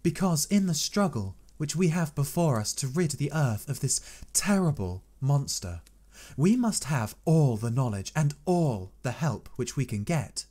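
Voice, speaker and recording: An earnest young Victorian woman, grave and resolute, speaking in a lifted, lighter register, as a male reader puts her on. Her voice climbs and falls with feeling as she pleads to share the burden. The delivery is unhurried and deliberate. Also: on the high side, sing-song, mellow, pitched above the performer's own voice. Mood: earnest pleading.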